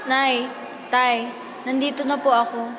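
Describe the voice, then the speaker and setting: High-voiced troubled teenage schoolgirl played by a woman. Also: flat delivery, a little slow, noisy background. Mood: dutiful. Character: troubled teenage schoolgirl, obedient, regretful, lonely. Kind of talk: amateur school radio drama acting.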